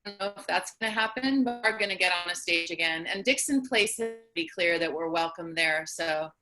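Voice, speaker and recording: A woman's voice: fairly low pitch, lively intonation, fairly quick, over music, rough recording. A woman talking casually as a playwright and performer answering questions on a video call. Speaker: playwright and performer in online post-show Q&A. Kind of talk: casual Q&A talk.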